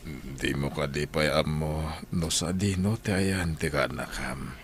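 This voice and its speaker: An actor with an Ilocano accent playing a grave, solemn doctor in a radio drama. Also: male voice, a bass voice, steady tone, a little slow. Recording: faint noisy ambience.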